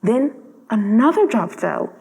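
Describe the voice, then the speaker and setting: A woman reads a story aloud as a theatrical narrator. Her voice is on the high side and swings expressively through each carefully phrased sentence. Long reverb surrounds it, as in a hall. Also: sing-song, unhurried, dark tone. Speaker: storybook reader.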